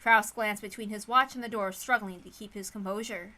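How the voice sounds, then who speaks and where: A woman's voice: mid-range voice, steady tone, a little fast, pushed and bright. A woman reading a story aloud as an amateur narrator, informal and given to apologetic, self-interrupting asides.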